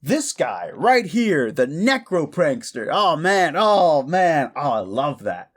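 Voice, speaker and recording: A man playing an excitable, cheerfully amoral modern necromancer prankster in a comedic audio roleplay, rambling and stammering eagerly. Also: on the high side, slow pace, heavily acted. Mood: delighted. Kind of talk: comedic audio roleplay monologue addressed to listener.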